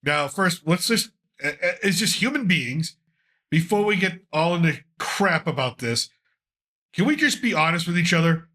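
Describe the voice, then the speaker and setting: A congested man hosts a casual talk show, his voice bright and a little raised in pitch. He rambles loosely through the news, pausing with frequent fillers as he goes. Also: expressive. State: congested from a cold. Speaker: podcast host.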